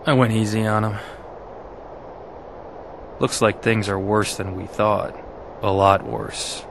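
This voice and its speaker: A man's voice: fairly low pitch, slow, background noise. An actor playing a stern, brooding young swordsman in a video game, terse and coolly dismissive. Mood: grim. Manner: terse, flat and serious. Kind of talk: video game cutscene acting.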